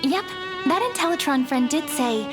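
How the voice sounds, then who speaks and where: A woman playing a sweet, playful young companion in a video game, with a gentle, musing, teasing manner. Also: high-pitched, lively intonation, normal speed, music in the background. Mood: earnest. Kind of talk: scripted game dialogue, anime-style.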